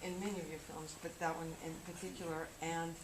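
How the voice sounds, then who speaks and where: A woman in an audience, asking a question in a casual, slightly halting way. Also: low voice, little pitch movement. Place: audience at a public event. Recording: clear background noise in venue.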